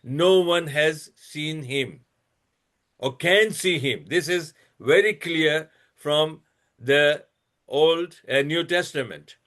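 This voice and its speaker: A man with a fairly high voice talks slowly and deliberately, presenting references one by one on his own channel. He restarts often and leans on fillers. When he speaks to critics he turns blunt and scolding. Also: a bit bright. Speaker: channel host presenting a religious comparison. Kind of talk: lecture-style presentation reading out references.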